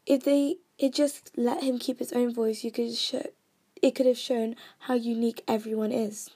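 A woman's voice: on the high side, little pitch movement, moderate pace, mellow, slightly rough. A young woman from Kenya with a British accent, earnestly reading out her own movie and song reviews. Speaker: vlogger reviewing movies and music. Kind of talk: scripted review read aloud.

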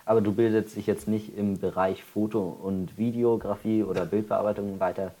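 A man's voice: fairly low pitch, steady tone, normal speed, dark tone. A man acting as interviewer, asking questions and responding in a casual conversational style.

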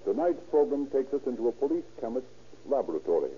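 A man's voice: medium pitch, very animated, quick pace, loud music behind the voice. An American man announcing from a script as host of a 1930s radio programme. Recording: muffled, lo-fi 1930s radio recording.

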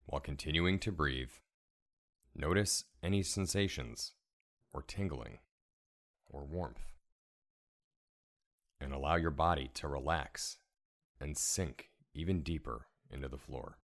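A man leading a guided relaxation meditation in a calm, soothing, measured way, giving gentle step-by-step instructions. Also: extremely low pitch, creaky. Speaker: meditation guide, podcast host.

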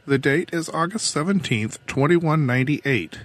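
Man voicing a weary, defiant older woman captain, pitched up. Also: expressive, moderate pace, fairly bright tone. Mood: weary, guarded. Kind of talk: audiobook character dialogue.

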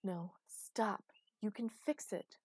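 A woman voicing a desperate, fierce, commanding heroine in a dark fantasy audiobook, emotional and insistent. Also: medium pitch, slow, slightly rough, dry recording. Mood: pleading. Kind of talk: audiobook character acting.

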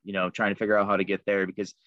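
A man's voice: on the low side, monotone, very fast, fairly bright tone, dry recording, dull audio. A man guest talking casually about the fishing lures he makes, easygoing, with many ums and fillers. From Liverpool, New York. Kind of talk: casual interview chat.

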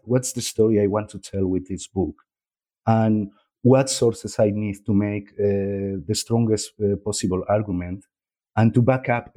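A man's voice: lowish voice, mellow. A man with a Spanish accent, an author and journalist, talking through his work in an interview with frequent hesitations and repeated words. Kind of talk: interview conversation.

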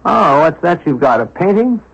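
A middle-aged American rogue, glib and urbane, with a fairly high, animated voice. He sounds like a gentleman thief in an old radio play, on a dull recording. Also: moderate pace, creaky, a little hiss or hum. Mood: urbane, curious. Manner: glib, urbane, playfully evasive. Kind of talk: old-time radio drama acting.

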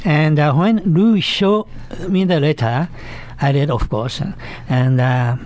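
A man in his eighties with a Japanese accent, recounting as an interview guest, haltingly and repeating words. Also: on the high side, darkish tone, no echo. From Kyoto, Japan. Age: 80s. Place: studio.

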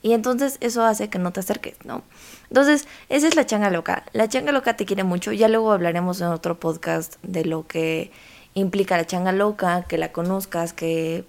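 A woman's voice: mid-range voice, normal speed, creaky. A woman with a Mexican accent hosting a conversational podcast, speaking informally and straight to the listener. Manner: informal, addresses listener directly. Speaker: podcast host.

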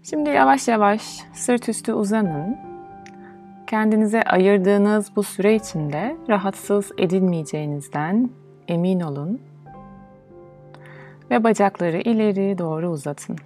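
A woman guiding a meditation in a soothing, measured, instructional way, leaving pauses between phrases. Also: medium pitch, a little mellow. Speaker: meditation guide. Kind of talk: guided yoga nidra meditation, instructional. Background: music.

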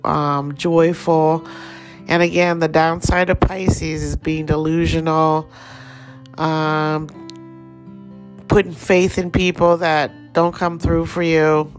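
A woman with a Thai accent giving a relaxed, rambling daily astrology forecast as host. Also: low voice.

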